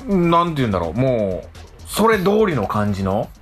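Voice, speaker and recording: Man, radio host, casual banter, good-natured, animated pitch, faint music behind. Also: normal speed.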